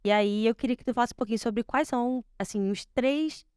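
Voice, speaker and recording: A woman hosting a panel talk, summarizing the guest's story and posing questions in a measured way. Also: fairly high pitch, darkish tone, smooth, no room sound, studio quality. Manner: measured, summarizing then asking. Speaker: host.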